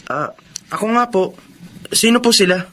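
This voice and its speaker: An actor playing an earnest, protective young brother in a Tagalog radio drama. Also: male voice, sits high, expressive, moderate pace, a bit bright, a little hiss or hum. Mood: concerned. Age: young adult. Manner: earnest, emotional.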